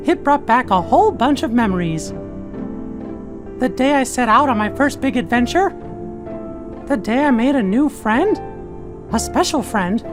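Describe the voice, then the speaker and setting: A man voicing a small, kindly cartoon mouse king in a video game, squeaky and earnest. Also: exaggerated voice. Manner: earnest, heartfelt.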